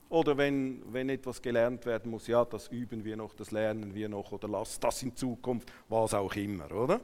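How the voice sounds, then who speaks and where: A man with a Swiss German accent preaching a sermon to a congregation, emphatic and swinging between hushed asides and raised declarations. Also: on the low side. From Switzerland. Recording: long hall reverberation.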